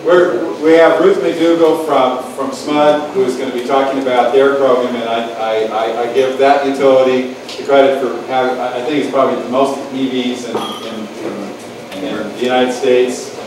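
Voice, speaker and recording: A man's voice: mid-range voice, steady tone, moderate pace, crowd noise. A man moderating a lecture session, chatting casually and upbeat with lots of restarts before introducing the speakers. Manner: rambling, upbeat, full of restarts. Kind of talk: casual, joking lecture-hall chat. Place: lecture hall with audience. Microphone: distant room mic. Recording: echoey hall from far off, with background noise.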